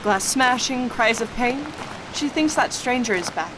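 A woman's voice: on the high side, lively intonation, unhurried, noisy background. A woman voicing an insistent young woman. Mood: urgent. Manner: urgent and insistent. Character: young, impatient female daughter. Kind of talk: amateur radio drama acting.

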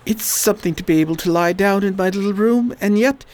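A woman's voice: mid-range voice, fairly quick, darkish tone, lightly acted. A woman playing a weary, dutiful educated man in a radio play, terse and resigned.

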